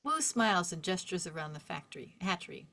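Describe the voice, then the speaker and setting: A woman's voice: lowish voice, big pitch swings, a little fast, creaky, sharp tone. A woman reading stage directions as a scripted narrator, in a vivid descriptive storytelling manner.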